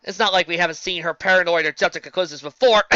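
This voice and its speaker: A woman casually commenting on a fan story she reads aloud. She rambles, restarts and corrects herself, and jumps up in pitch for emphasis. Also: moderate pace, highs cut off. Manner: animated, jumping up in pitch for emphasis. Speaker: fan fiction reader and commentator.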